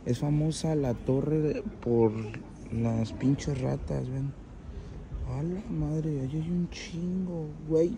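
A man's voice: on the high side, takes it slowly. A man with a Mexican accent vlogging casually on city streets, joking and slangy with his friends. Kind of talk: casual travel vlog. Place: outdoors on city streets, often riding a bike. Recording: outdoor street noise. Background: street traffic and city noise.

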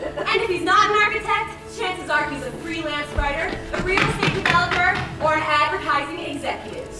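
A woman's voice: very high voice, fairly quick, over loud music. A young woman playing a perky scientist who lectures on rom-com rules in an emphatic, scripted comic stage style. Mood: perky, mock-earnest. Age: young adult. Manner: theatrical, emphatic delivery. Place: stage in a large theater. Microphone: far camera. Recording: distant echoey theater with audience.

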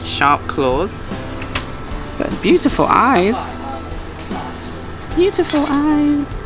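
A man, chatting casually to a handheld camera as he travels, talking to animals in a high playful voice now and then. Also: huge pitch swings, extremely slow, over music. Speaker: travel vlogger. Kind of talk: casual travel vlog chat. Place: outdoors at a petting farm and a dam. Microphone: handheld camera at arm's length.

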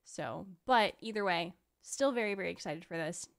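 A woman's voice: on the low side, expressive, normal speed, gravelly. A tired young woman with a slight lisp, chatting casually as she streams a reaction at a close desk microphone. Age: young adult. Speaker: streamer reacting to game trailers. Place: small room at home. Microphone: close desk microphone with headset.

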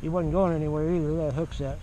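A man's voice: on the high side, quick pace, crowd noise, rough recording. A man hosting his own fishing video, chatting casually on a grassy pond bank, recorded on a body-worn action camera. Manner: easygoing, excited cheering a fish on. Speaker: fishing channel host. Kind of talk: casual chat while fishing. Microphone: chest or head-mounted action camera.

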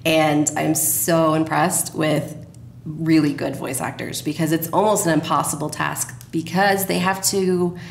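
A woman's voice: sits low, fairly even intonation, unhurried, gravelly. A woman speaking as a guest in a conversational podcast interview about her work. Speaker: podcast guest, radio drama producer. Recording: roomy echo, faint noise.

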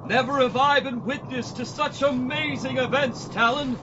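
An actor playing an earnest, naive and dim-witted space hero in a parody pulp radio serial. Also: male voice, very high voice, fairly even intonation, moderate pace, noisy background. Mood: earnest wonder. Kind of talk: pulp audio drama parody acting. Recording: dull lo-fi audio under loud music.

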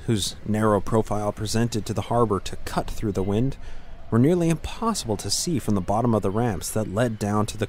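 Low-voiced man narrating a fantasy tale. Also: a little mellow, slight background noise. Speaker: audio story narrator. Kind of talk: scripted fantasy fiction narration.

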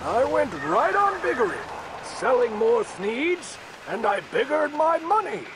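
A man's voice: very high-pitched, big pitch swings, unhurried, background noise, heavily acted. A man voicing a greedy, defiant old factory owner in a storybook, boastful and emphatic.

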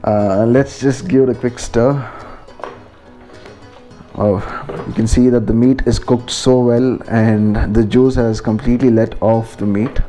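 A man's voice: mid-range voice, steady tone, measured pace, warm tone, faint music behind. A man with an Indian accent giving a casual, informal step-by-step cooking narration as a home vlogger in a kitchen.

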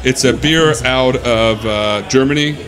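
Deep-voiced man describing beers, halting. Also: fairly bright tone. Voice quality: big, deep voice. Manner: halting, many fillers. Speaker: beer guest. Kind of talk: casual beer tasting talk. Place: sports bar taproom. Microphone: handheld mic.

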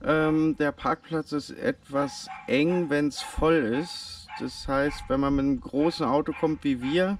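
Guy doing a chill travel vlog outside, talks slow, kinda far off the mic with faint noise behind. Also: fairly high pitch. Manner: relaxed, chatty, addresses viewers directly. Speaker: travel vlogger. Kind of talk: casual travel vlog narration. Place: outdoors on a road trip.

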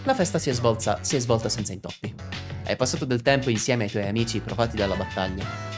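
A man's voice: medium pitch, fast. A man, reading game subtitles aloud as a streamer. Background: game music and dubbed English game voices.

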